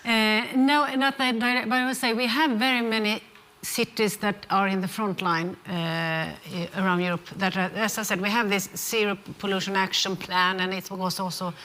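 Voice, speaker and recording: A woman from Sweden answers on a conference panel with a bright, clear-edged voice. She speaks haltingly, restarting her sentences as she searches for words. Her pitch sits in a middle range. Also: fairly even intonation. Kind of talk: panel discussion remarks.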